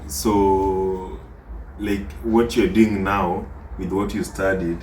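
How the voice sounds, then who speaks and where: A man's voice: low voice, level tone, takes it slowly, darkish tone. A Kenyan man co-hosting a casual interview, asking questions in an easygoing way and filling in with short okays. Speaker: co-host. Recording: echoey room, distant mic, background noise.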